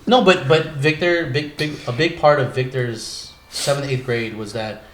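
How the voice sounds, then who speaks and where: A man's voice: mid-range voice, a little slow, fairly bright tone. Casual American male podcast talker, mid-range voice, slangy and profane, recorded in a roomy, noisy space. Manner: slangy, profane, addresses friends as bro and dude. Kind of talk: casual chat, reminiscing with friends. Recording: echoey room with clear background noise.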